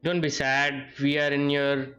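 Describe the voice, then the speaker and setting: Slow, low-voiced woman game streamer. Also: little pitch movement, a bit bright, dull audio, faint music behind. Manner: murmured short remarks, echoing the game's lines. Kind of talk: casual livestream reactions.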